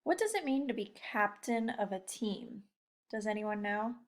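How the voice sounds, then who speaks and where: A woman's voice: medium pitch, lively intonation, measured pace. Encouraging young woman teaching children. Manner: encouraging, addresses listeners directly with questions. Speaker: teacher leading a read-aloud lesson. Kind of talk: casual, chatty explanation to children.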